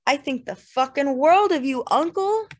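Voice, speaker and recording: A woman voicing a loud, drunk, volatile man in dramatized audiobook dialogue. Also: on the high side, lively intonation, moderate pace, voice pushed up. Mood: maudlin wailing.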